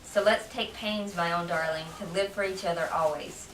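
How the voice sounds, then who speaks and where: A woman gives a dramatic reading of old letters at a live event. Her voice is bright and sits at a middle pitch. The recording is echoey and picked up from a distance, with faint noise. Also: steady tone, normal speed. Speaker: reader at a public library event. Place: library room, reading to a small gathering.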